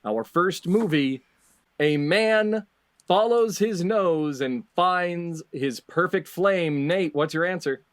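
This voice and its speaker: A man's voice: high voice, slow. A man hosting a quiz game, reading out clues like an announcer and repeating answers back amid casual banter. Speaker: game show host.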